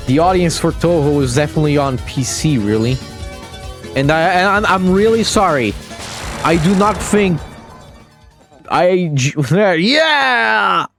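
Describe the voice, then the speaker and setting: A man commentating a live game stream in a slow, unhurried drawl, his voice rising and falling in an animated, lively way. He chats loosely with viewers and breaks into laughter often. Faint game music plays underneath. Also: fairly high pitch, gravelly. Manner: chatty, reacts to chat, laughs often.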